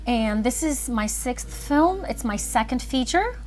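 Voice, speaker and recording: A woman's voice: fairly high pitch, animated, a little slow, faint music behind. A woman chatting casually and thinking aloud as she hosts an animation livestream. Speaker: animator and filmmaker streaming live.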